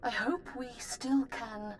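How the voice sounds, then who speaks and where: A woman's voice: a little high, fairly even intonation, slow. An actress playing a solemn, earnest female healer recording a formal journal in a video game. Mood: grave, fearful. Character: solemn female Jedi healer, hopeful turning fearful. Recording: faint noisy ambience.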